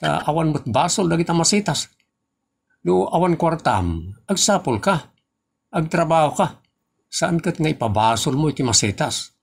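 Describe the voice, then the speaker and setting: Man acting creaky-voiced, teasing Filipino father. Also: fairly high pitch, very animated, normal speed, full character voice. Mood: scolding, amused. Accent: Ilocano Filipino. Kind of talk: Ilocano comedy audio drama acting.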